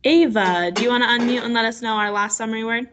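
A woman's voice: on the high side, steady tone, fast, fairly bright tone, slight background noise. A woman teacher reading a story aloud and guiding children through an online lesson on a video call.

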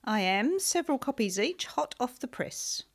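A prim, wary political aide in a radio comedy who questions every order put to her. A woman voices her with a fairly high voice that rises and falls a lot. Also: very animated, normal speed, gravelly, heavily acted. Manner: prim, sceptical.

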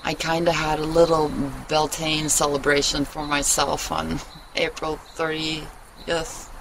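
A woman's voice: sits low, little pitch movement, slow pace. Rambling, candid woman vlogger over outdoor noise. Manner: rambling, candid, swears casually. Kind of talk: casual confessional chat to camera. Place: outdoors in a wooden shelter in the woods. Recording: clear outdoor ambient noise.

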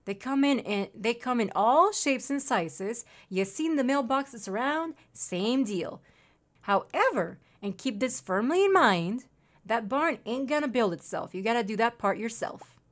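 A woman's voice: a little high, expressive, unhurried. A young woman from Mexico with a Spanish accent, streaming a farming game and reading its dialogue aloud, with chatty asides and gasps. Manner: chatty, reads character lines aloud, reacts with gasps.

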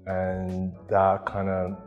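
A man's voice: low voice, completely flat delivery, very slow, big-room sound, highs cut off, faint music behind. A man in his thirties from Taiwan, answering interview questions in a hesitant way with many ums and restarts, on a lapel mic in a gallery room. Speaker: interviewee artist.

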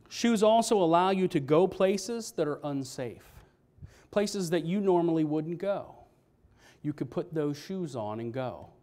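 A middle-aged male pastor with a notably high voice, preaching from a church pulpit. He keeps it conversational, putting questions to the congregation as he goes. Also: darkish tone. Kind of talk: sermon. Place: church sanctuary, standing at a pulpit. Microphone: pulpit microphone, filmed by a fixed camera.

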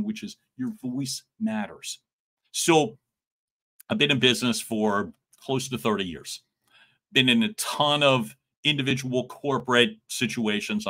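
A middle-aged man coaching on communication in a live stream, loud and expressive, joking with his viewers, speaking from a room at home into a studio mic. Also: mid-range voice. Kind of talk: livestream coaching talk. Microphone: large studio mic in front.

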